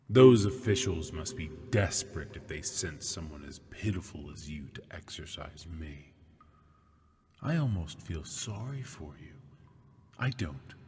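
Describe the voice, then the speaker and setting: A cruel, smug demon taunting his captor in a deep, husky half-whisper, performed by a man in an ASMR roleplay. He drawls slowly and mockingly, with long reverb trailing each word. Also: a little mellow, full character voice. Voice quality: breathy half-whispered delivery. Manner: mocking, slow and seductive.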